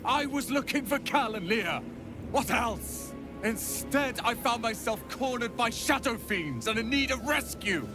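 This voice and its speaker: A man's voice: extremely high pitch, animated, a little slow, full character voice. A man playing a proud, prickly, self-loathing adventurer in a fantasy video game, exasperated and bitingly sarcastic. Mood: bitter, sarcastic. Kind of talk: dramatic video game dialogue. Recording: music under the voice.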